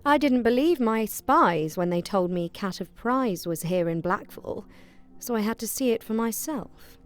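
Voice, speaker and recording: A woman's voice: a little high, animated, a little slow, soft background music. An actress voicing a cold, imperious elven lady who owns a mine.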